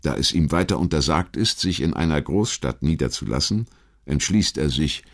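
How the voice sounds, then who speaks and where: Deep-voiced male documentary narrator. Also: animated, moderate pace. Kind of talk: scripted documentary audiobook narration.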